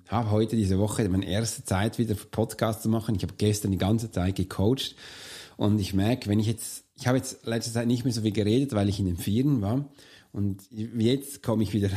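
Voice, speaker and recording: Hoarse low-voiced man coaching the listener. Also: moderate pace, dark tone. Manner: earnest, speaks straight to the listener. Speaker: coach and podcast host. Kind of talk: solo motivational podcast monologue.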